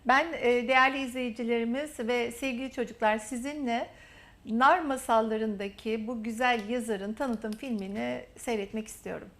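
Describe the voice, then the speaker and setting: A woman hosting a TV interview, affirming her guest warmly and drawing out her phrases. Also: a little high, fairly even intonation. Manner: warm, affirming, drawn-out phrasing. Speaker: TV interview host.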